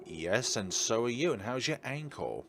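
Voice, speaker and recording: A man's voice: medium pitch, sing-song, moderate pace, fairly bright tone, slight background noise. A man hosting a visual novel playthrough, reading the text aloud and mixing in casual, offhand remarks. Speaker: host of a game playthrough.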